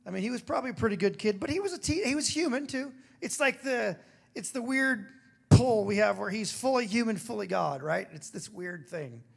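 A man preaching a sermon with a throaty, hoarse voice, moving between emphatic declarations and casual, joking asides. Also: sits high, lively intonation, fairly quick. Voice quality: gravelly, throaty. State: hoarse after singing. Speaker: pastor.

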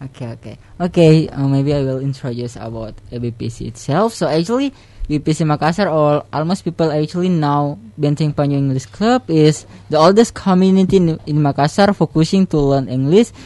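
A man with an Indonesian accent, a radio guest explaining his English club in halting, hesitant English. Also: on the high side, a little mellow, dry recording. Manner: hesitant, many fillers and restarts in halting English. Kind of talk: casual radio talk show chat.

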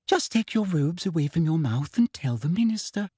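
A man's voice: on the high side, sing-song, moderate pace, warm tone, breathy edge, no room sound, studio quality, pitched above the performer's own voice. A man voicing a prim, smug middle-aged woman official with a sickly sweet manner. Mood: sugary smug.

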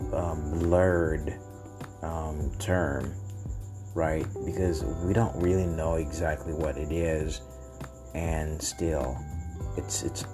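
A man with a Haitian accent, a native Haitian Creole speaker, talking unscripted as a podcast host in a halting way, searching for words and repeating phrases. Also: very deep voice. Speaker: podcast host and language learner. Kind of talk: unscripted solo podcast talk.

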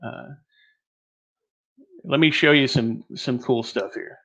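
A man's voice: mid-range voice, takes it slowly, vocal fry, bright, forceful tone, muffled recording. A man from Texas presenting an online teaching webinar in a rambling, joking, self-deprecating way.

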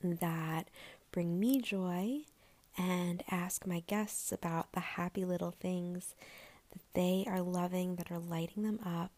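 A woman hosting her own podcast in a gentle, rambling chat, her voice a little raspy and hoarse, with plenty of fillers. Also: on the low side, fairly even intonation, slow. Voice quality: raspy, breathy. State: slightly hoarse. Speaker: podcast host. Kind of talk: solo podcast intro, casual chat.

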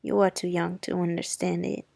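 Woman voicing a wry neighbor lady, gravelly low voice, dry and grim, unhurried. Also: flat delivery, mellow, no echo. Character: wry, sharp-tongued middle-aged Southern woman neighbor. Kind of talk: audiobook character reading.

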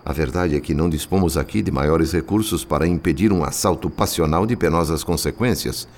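An actor playing a solemn, devout narrator, telling the story theatrically in a radio drama. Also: male voice, a bass voice, fairly quick, a little mellow. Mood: grave, counseling. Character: solemn, devout male spirit narrator, measured and moralizing. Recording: long cavernous reverb.

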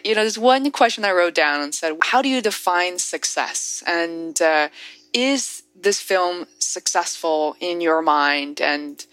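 Hesitant woman host, low voice. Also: takes it slowly, fairly bright tone, clear, resonant tone, no echo. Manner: hesitant, filler-heavy questions. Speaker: podcast host. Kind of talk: podcast interview.

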